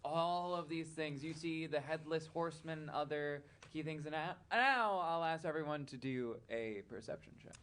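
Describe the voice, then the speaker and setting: A man narrating a tabletop fantasy game as game master, halting as he improvises and drifting into casual asides. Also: on the high side, fairly bright tone, smooth.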